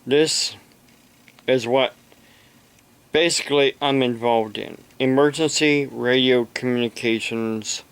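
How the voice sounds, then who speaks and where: A man talking unscripted to camera in a halting, deliberate, aggrieved way, like a homemade video monologue. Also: mid-range voice, fairly even intonation, clean tone. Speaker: amateur radio operator, video blogger.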